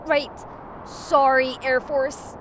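A woman's voice: high voice, little pitch movement, very slow, slight background noise. A woman voicing a feisty young superhero kid in a comic dub, cheeky and exclamatory. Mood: eager.

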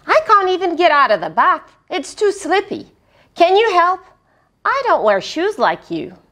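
A woman's voice: very high-pitched, very animated, a little slow, a bit bright, voice pushed up, exaggerated voice. A woman voicing a small, polite talking spider from a storybook, pleading and helpless. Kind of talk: children's storybook character voice.

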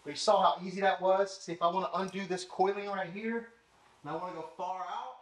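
Man, fairly high voice, casual and hands-on, home garage, camera mic. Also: fairly even intonation, brisk. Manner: casual, explaining while working with his hands. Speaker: car detailing channel host. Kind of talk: casual product demo. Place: home garage with tiled floor.

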